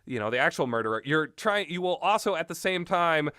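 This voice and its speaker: A man giving rambling, opinionated game commentary in a blunt, exasperated, sweary and halting manner. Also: a little high, animated, sharp tone, no echo, studio quality. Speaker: video game reviewer.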